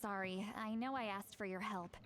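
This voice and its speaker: A woman's voice: medium pitch, fairly even intonation, normal speed, a bit bright, a little hiss or hum, natural voice. An actress playing a caring, proud young woman warrior with a fiery temper in a video game. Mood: reassuring.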